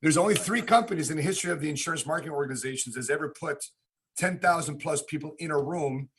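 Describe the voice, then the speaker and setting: A man's voice: a little high, fast, a bit bright, slightly rough. An American man hosting a podcast, talking casually and repeating phrases for emphasis. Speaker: podcast host.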